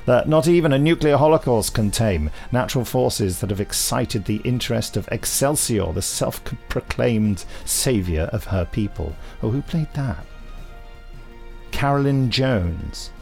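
A man's voice: medium pitch, expressive, unhurried, gravelly, darkish tone, faint music behind. A man hosting a podcast, chatting casually in a rambling, wry way with many digressions. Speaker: podcast host. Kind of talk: casual podcast chat with readings.

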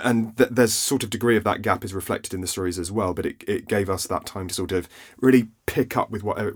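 A lower-voiced man chatting as a writer on a podcast. He rambles and restarts often as he talks. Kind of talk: casual podcast chat.

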